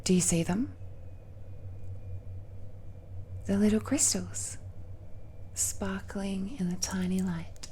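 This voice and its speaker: A woman voicing a tender, nervous girlfriend of dragon blood in an intimate romantic audio roleplay, speaking haltingly and softly, often dropping into whispers. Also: mid-range voice, fairly even intonation, slight background noise, close to an everyday voice. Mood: playful, affectionate. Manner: slow, halting, hushed, often sighing or lapsing into whispers. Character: tender, nervous dragon-descended girlfriend confiding in her lover.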